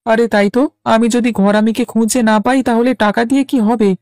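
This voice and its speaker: An actor voicing a naive, dithering young village husband who is anxious to please in a cartoon. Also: male voice, very high voice, steady tone, normal speed, darkish tone, no echo, studio quality. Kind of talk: Bengali cartoon voice acting.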